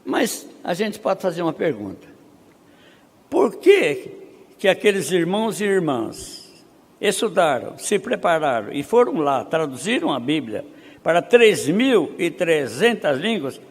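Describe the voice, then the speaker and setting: A man from Brazil speaking at a missionary conference, reading statistics slowly and building to emphatic rhetorical questions. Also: a little high, sing-song, husky. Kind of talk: conference preaching. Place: seminary auditorium. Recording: long hall reverb.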